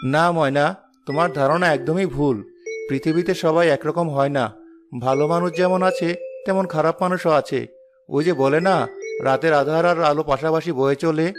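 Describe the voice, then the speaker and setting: An actor voicing a poor, gentle rickshaw-puller husband in a cartoon, consoling and philosophical. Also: male voice, on the high side, fairly even intonation, normal speed, close to an everyday voice. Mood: earnest. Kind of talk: Bengali cartoon moral-story acting. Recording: music behind the voice.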